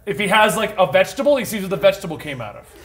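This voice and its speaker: High-voiced man explaining a comic power. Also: very animated, rapid-fire, a bit bright. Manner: amused, giggly. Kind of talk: casual banter, judging a game.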